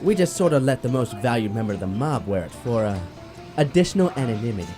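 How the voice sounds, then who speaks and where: An actor playing a blunt, streetwise gangster in a radio drama, coolly pressuring. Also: male voice, mid-range voice, big pitch swings, fast talker, close to an everyday voice. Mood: cool pressure. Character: blunt adult male gangster, cool and pressuring. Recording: prominent music bed behind voice.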